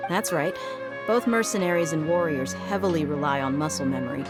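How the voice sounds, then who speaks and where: A woman's voice: low-pitched, fairly quick, close to an everyday voice. A woman voicing a young, self-assured mercenary swordfighter in an anime video game, direct and protective. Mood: reflective. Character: confident, protective young female mercenary swordfighter, brisk and frank. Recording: background music behind the voice.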